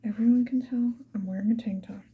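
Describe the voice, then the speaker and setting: A woman's voice: a little high, fairly even intonation, fast talker, breathy edge, narrow, tinny audio. A woman whispering breathily in a casual, rambling ASMR style, unhurriedly thinking aloud. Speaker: ASMR video creator.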